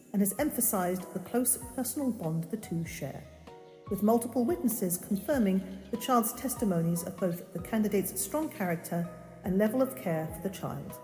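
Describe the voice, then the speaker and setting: In a long, echoing reverb, a woman acts out a female official reading a formal evaluation. She is deliberate and officious, with a mellow tone. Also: medium pitch, lightly acted. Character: formal adoption official's evaluation letter being read out. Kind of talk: formal letter read aloud. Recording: echoey hall-like reverb.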